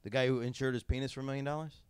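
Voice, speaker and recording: A man's voice: medium pitch, steady tone, racing, smooth, no echo. A man in his thirties hosting a casual podcast chat in a hotel room, rambling with lots of fillers and restarts. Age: 30s. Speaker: podcast host.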